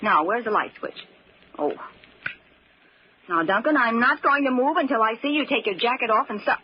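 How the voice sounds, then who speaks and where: An actress playing an anxious, protective young stepsister in an old-time radio mystery, earnest and a little bossy, hesitating when worried. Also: female voice, a little high, animated, normal speed, close to an everyday voice. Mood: anxious, insistent. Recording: muffled, noisy vintage radio recording.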